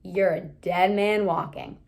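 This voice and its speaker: A woman's voice: lowish voice, fairly even intonation, takes it slowly, vocal fry, darkish tone. A woman voicing a teasing, gossipy teenage schoolboy in a storybook, slangy and exaggerated.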